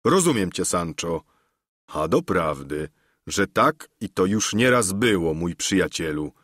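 A man voicing a deluded, solemn old knight-errant who speaks in a grave, lofty, measured way. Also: low voice, big pitch swings, fairly bright tone, dry recording, clean studio sound, exaggerated voice.

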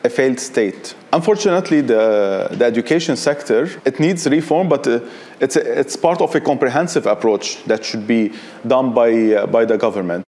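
A man in his thirties, a human rights director, answering interview questions earnestly in an office, halting and repeating words. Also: medium pitch, darkish tone. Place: indoor office.

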